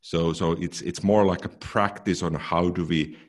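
A man with a very low, deep voice, co-hosting a podcast. He talks in a relaxed, thoughtful way and often doubles up words as he searches for them. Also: normal speed. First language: Finnish. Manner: thoughtful, repeats words as he goes. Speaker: podcast co-host. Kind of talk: casual technical chat.

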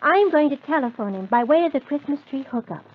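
A woman's voice: sits high, animated, fast. A woman playing an earnest, decisive young heroine in an old children's Christmas radio serial. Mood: worried, urgent. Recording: tinny, noisy old radio transcription.

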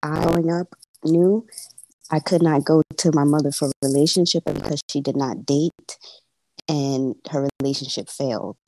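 A woman's voice: low-pitched, normal speed, a little mellow, no echo, low-quality recording. A woman in her twenties from the United States, a caller on a show, who talks in a hesitant, wordy way with many ums. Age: 20s. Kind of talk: casual conversation.

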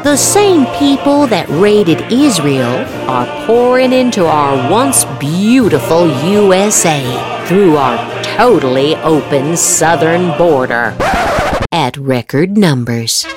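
A low-pitched American woman reads political posts like a dramatic performance. Her delivery is measured and emphatic, with music playing behind her. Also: animated. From United States. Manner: theatrical, emphatic, deliberate. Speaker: podcast host.

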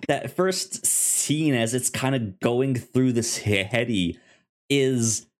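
Guy on a movie podcast, talks real slow, stumbles and repeats his words, chatting casually. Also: medium pitch. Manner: halting, often repeats words and stammers. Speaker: podcast co-host. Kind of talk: casual film review chat.

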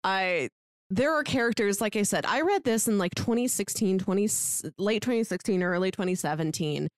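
A woman's voice: medium pitch, animated, normal speed, no room sound, studio quality. A woman co-hosting a podcast, chatting casually about books in a rambling, giggly way. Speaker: podcast co-host.

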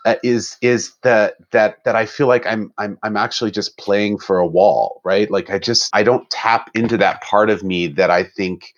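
A man's voice: on the low side, normal speed, few pauses, lo-fi sound. A middle-aged man from Germany, an orchestra musician, chatting casually in an interview, laughing often and restarting his sentences.

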